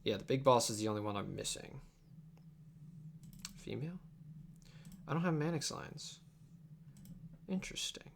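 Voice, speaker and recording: A man's voice: mid-range voice, animated, normal speed, gravelly, long pauses. A young man chatting casually on a livestream while he works, mumbling and thinking aloud in a distracted way. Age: young adult. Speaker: livestreamer editing an abridged anime dub. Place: indoor home computer setup. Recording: faint keyboard and room noise.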